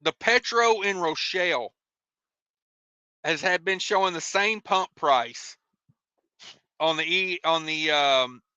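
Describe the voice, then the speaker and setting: A man's voice: fairly high pitch, slow, long pauses, sharp tone, clear, resonant tone, no echo, muffled recording. An American man hosting a casual livestream, reading out viewer comments and replying in a folksy, rambling, colloquial way. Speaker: livestream host, truck driver.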